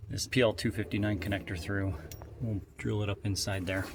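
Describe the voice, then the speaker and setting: A man with a fairly low, creaky voice walks through a job in a casual, practical way. He explains each step informally as he works. Noticeable workshop noise sits behind him. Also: fairly even intonation, fairly quick. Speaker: installer giving a tutorial. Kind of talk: casual hands-on how-to narration. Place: in and around a utility vehicle in a garage or shop. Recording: noisy workshop ambience behind voice.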